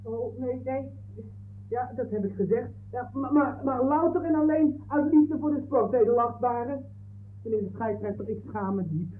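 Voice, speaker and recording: An actor playing an excitable football fan on trial, stammering and grovelling yet stubborn, in a 1960s radio comedy. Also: male voice, very high voice, moderate pace. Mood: apologetic, flustered. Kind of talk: 1960s comic radio play acting. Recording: tinny, narrow-band 1960s radio recording.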